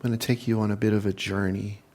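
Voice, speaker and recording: A man guiding a meditation in slow, soothing, measured phrases with long pauses. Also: on the low side, flat delivery, darkish tone. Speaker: meditation leader and spiritual speaker.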